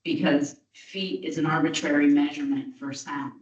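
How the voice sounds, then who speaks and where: A woman with a loud voice, a board member, pressing direct questions at a city meeting. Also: sits low, little pitch movement, moderate pace, a little hiss or hum. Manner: direct, pressing on objective measures. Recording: echoey chamber, distant muffled mic.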